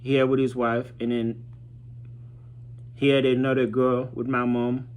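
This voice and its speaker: A man speaks in a medium-pitched voice. He tells his own story informally, in a halting, reflective way, often pausing to search for the right word. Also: monotone, darkish tone, smooth. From Haiti. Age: 30s. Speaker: vlogger telling his childhood story.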